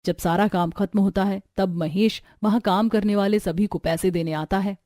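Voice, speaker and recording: A woman's voice: mid-range voice, steady tone, normal speed, warm tone, no room sound. A woman narrator reading a scripted family story for a cartoon.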